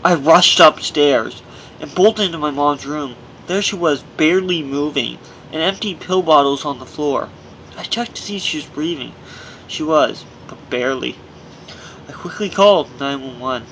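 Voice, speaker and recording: A man with a fairly high voice reads his own memoir as an audiobook narrator. His delivery is earnest and scripted, with the odd stumble over a word. There is faint noise on the amateur recording. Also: normal speed, a bit bright, rough recording. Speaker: author reading own memoir.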